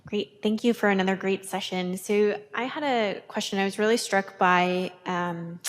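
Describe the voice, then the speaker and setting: A woman's voice: medium pitch, normal speed. Woman asking a question in a hall. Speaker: audience member asking a question. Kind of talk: question at a public talk. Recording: long hall reverb.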